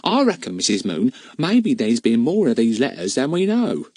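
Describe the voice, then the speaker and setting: A man's voice: medium pitch, huge pitch swings, normal speed, a little mellow, dry recording, pitched above the performer's own voice. A man voicing a cheerful, gossiping older charwoman with folksy, chatty speech. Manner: chatty, folksy, dropped grammar.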